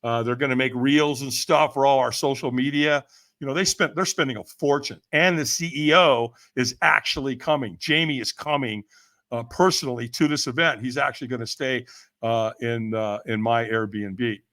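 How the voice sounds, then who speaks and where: An older man telling stories as an interview guest in an energetic, emphatic, slangy way, acting out remembered conversations. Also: medium pitch, normal speed. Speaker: podcast guest, firewalking event leader. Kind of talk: energetic storytelling in casual interview.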